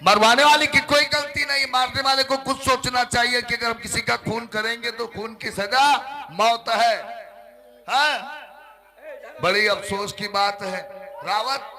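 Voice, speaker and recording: A man's voice: very high voice, fairly even intonation, a little slow, pushed and bright, full character voice. A man playing a bossy, foul-mouthed police officer, interrogating villagers theatrically in a folk stage drama. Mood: stern.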